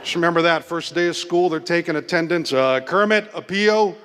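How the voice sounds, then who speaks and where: A man from Hawaii performing a stand-up comedy routine with a dry, deadpan delivery and wry asides. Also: a little high, a little fast, background noise. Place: comedy club stage. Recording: live club stage with long reverb and audible crowd.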